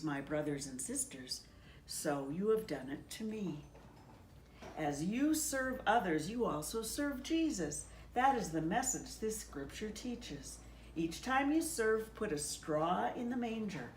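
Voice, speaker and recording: A woman pastor reading a children's story aloud in a measured, gently expressive storybook delivery. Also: medium pitch. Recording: echoey room, distant mic, faint noise.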